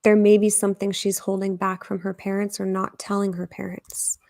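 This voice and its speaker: Woman reading tarot live, chatty, lots of um and like, fry in her voice, dry close sound. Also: mid-range voice, flat delivery, moderate pace, darkish tone, no echo. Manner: chatty, thinks aloud with fillers, whispers at times. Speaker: tarot reader.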